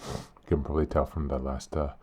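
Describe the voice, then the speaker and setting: Very deep-voiced, slightly hoarse man. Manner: calm, earnest, deliberate. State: hoarse, a bit sick. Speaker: podcast host. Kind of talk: reflective podcast reading.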